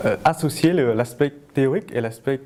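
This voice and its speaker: Brief: student in his twenties, careful and halting, warm tone. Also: mid-range voice, a little hiss or hum. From Vietnam. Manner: careful, hesitant with fillers. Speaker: master's student apprentice.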